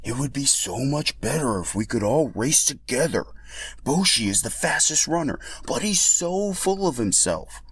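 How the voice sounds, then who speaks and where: A man's voice: medium pitch, animated, moderate pace, a bit bright, slightly rough, pitched above the performer's own voice. A man voicing a glum dinosaur villager in a storybook tale, plaintive and sounding rehearsed. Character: glum island dinosaur villagers repeating one complaint.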